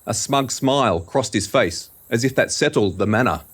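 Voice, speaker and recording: A man's voice: medium pitch, lively intonation, normal speed, slightly rough. A man narrating scripted fiction for an audio drama in a dramatic storytelling style.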